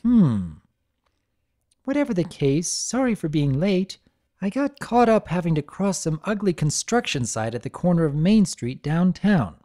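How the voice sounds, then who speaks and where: A man voicing a cheerful, squeaky earth pony mare in a story reading. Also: very animated, unhurried, mellow. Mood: chirpy. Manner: squeaky, chirpy.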